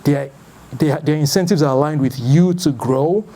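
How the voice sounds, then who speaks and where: A man in his thirties with a Nigerian accent, giving an informal, conversational talk as a founder introducing an event, in a loose, halting way. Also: fairly high pitch, warm tone. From Lagos, Nigeria. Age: 30s. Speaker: startup founder and event host.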